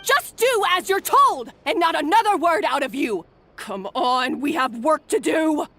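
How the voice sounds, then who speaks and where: A woman's voice: high voice, lively intonation, measured pace, pushed and bright, exaggerated voice. An actress playing a harsh, bossy head chef who berates her kitchen staff, in a game cutscene. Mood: commanding. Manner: scolding, contemptuous. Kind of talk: game voice acting.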